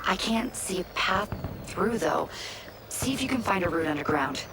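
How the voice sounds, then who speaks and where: A woman playing a brisk, wry hacker who guides an agent through a simulation in a video game. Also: medium pitch, animated, noisy background, close to an everyday voice. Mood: calm, wry. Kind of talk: video game voice acting, mission guidance. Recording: loud music behind.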